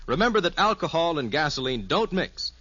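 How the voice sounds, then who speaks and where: Male American announcer, fifties radio, forceful and animated, slightly muffled vintage recording. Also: a little high, a little fast. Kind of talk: 1950s scripted radio announcement.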